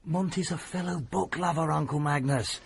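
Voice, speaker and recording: A young actor playing an earnest, anxious nephew in a radio drama, urgent and sometimes sharp. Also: male voice, a little high, normal speed, creaky, breathy edge. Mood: concerned.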